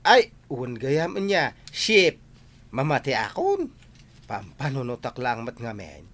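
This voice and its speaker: A man acting an excitable, doubtful villager in a comedic audio drama. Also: medium pitch, takes it slowly, full character voice.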